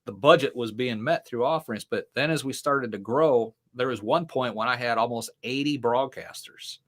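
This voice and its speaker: A man's voice: medium pitch, normal speed, fairly bright tone. A middle-aged man, a ministry founder and interview guest, telling long stories in casual talk with many fillers and the odd laugh. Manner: rambling storyteller with frequent fillers and laughs.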